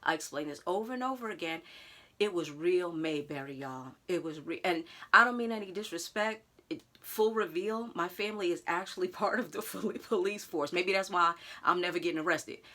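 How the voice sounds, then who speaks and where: A woman vlogger telling a personal story straight to her viewers in a casual, animated way. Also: fairly low pitch, fairly quick. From United States. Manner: animated, talks straight to viewers with "y'all", acts out others' lines.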